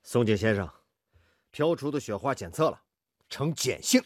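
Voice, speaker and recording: A man's voice: mid-range voice, expressive, normal speed, no room sound, clean studio sound, close to an everyday voice. An actor playing a firm, capable steel plant foreman in a radio drama, decisive and polite.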